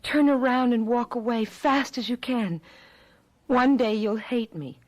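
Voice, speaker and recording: Woman playing a sorrowful, ageless young wife, slow and high. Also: natural voice, poor audio. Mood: confessing, defensive. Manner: melodramatic, deliberate, halting. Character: ageless eternal eighteen-year-old wife, world-weary, melodramatic, sorrowful. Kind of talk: radio drama acting.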